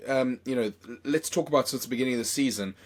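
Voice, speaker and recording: Hoarse excited South African podcast host. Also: male voice, mid-range voice, quick pace. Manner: excitable, rambling, many fillers. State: hoarse from a chest infection. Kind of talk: casual podcast chat.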